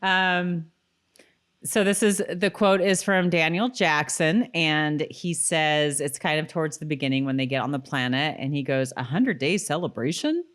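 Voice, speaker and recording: Informal podcast co-host, low-voiced woman. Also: moderate pace, a bit bright. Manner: informal, conversational. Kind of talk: casual TV episode recap chat.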